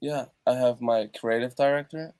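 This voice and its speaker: A man from Europe, a musician guest on an interview over a video call from his treated home studio, talking in a halting way with many fillers and restarts. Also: mid-range voice, darkish tone, smooth, no room sound.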